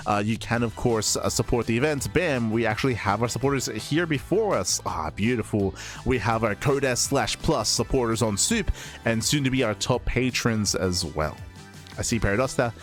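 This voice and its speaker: A man casting esports, winding down a stream in a chatty, rambling way, his voice still recovering after he lost it. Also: lowish voice. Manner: chatty, rambling with fillers and restarts. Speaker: esports caster. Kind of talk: casual stream sign-off.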